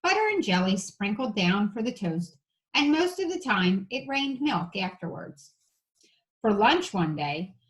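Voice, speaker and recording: Woman, mid-pitched voice, elementary teacher, children's picture book read-aloud, casual asides. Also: low-quality recording.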